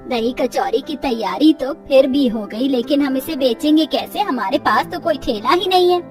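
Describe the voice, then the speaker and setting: A hardworking, worried cartoon woman of humble means, plaintive and earnest voiced by a woman. Her voice is extremely high and sounds distant from the mic, over music. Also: lively intonation, moderate pace, darkish tone. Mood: anxious, resolved.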